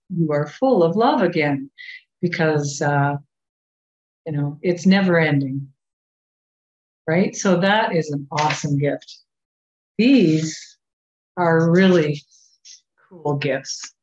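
Canadian woman in her sixties, low voice, slow and gentle children's-time talk, dull muffled webcam sound. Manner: gentle, unhurried, coaxing questions to children. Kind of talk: children's story at a church service. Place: small office at home. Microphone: webcam on a video call.

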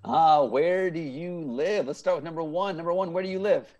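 A man's voice: a little high, normal speed, a little mellow, muffled recording. A man in his thirties hosting a playful game show over a video call, upbeat and often repeating answers back. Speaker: improv teacher and game show host. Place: indoor room at home, on a video call.

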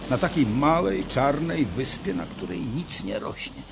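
Animated, musing troll father. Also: on the high side, measured pace, vocal fry. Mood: musing, unworried. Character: calm, musing troll father, middle-aged, unworried. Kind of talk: radio play acting. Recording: noisy 1970s vinyl radio play.

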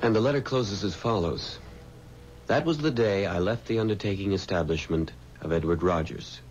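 An actor playing a genial husband, measured and articulate, in a 1970s radio drama. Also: male voice, low voice, a little mellow, natural voice. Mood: composed, curious. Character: genial husband reading out an old family letter. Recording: dull, hissy 1970s radio recording.

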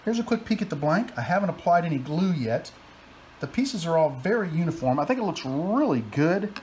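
A man in his forties talking casually through his workshop projects, relaxed and chatty with many fillers and restarts, filmed on a phone held at arm's length in his home workshop. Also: on the high side, brisk, slight background noise. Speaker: woodworking and metalworking hobbyist. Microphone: phone camera held at arm's length.

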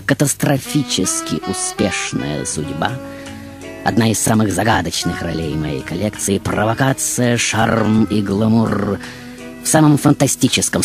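Deep-voiced, pompous mad showman. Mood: theatrical, mysterious. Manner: pompous, dramatic, drawn-out pauses. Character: grandiose, mad male actor-genius, vain showman of many roles. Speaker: radio show host in character. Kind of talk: theatrical radio monologue. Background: music.

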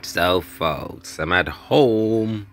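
Jamaican guy, middle aged, vlogging at home in patois, real slow and kinda low, repeats himself. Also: lively intonation, fairly bright tone. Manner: repeats phrases, speaks in patois. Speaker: family vlogger. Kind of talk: casual home vlog chat. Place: outdoors on a wooden veranda at home. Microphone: handheld phone.

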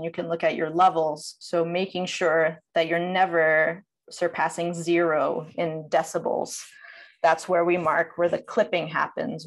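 A woman with a fairly low voice presents over a video call. She explains things step by step in a conversational way, with the informal phrasing of someone teaching from slides. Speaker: audio producer giving a presentation. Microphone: video call microphone.

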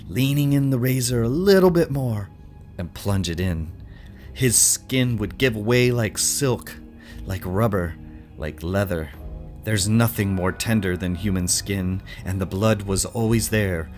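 A man narrating a first-person short story dramatically, with deliberate pauses and emotive emphasis. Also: lowish voice.

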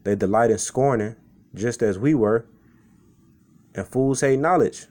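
A man's voice: medium pitch, unhurried, darkish tone. Casual-talking man preaching scripture. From Chicago. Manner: conversational, repeats "man" and "okay". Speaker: street preacher. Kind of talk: informal sermon with scripture reading.

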